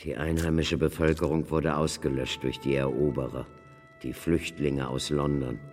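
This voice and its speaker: Learned scholar, deep voice, grave, lecturing, radio drama, long echoing reverb. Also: male voice, fairly even intonation, darkish tone, faint music behind. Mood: grave explaining. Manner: measured, lecturing. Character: learned male scholar, grave, knowing, explanatory. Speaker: actor. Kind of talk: radio play acting.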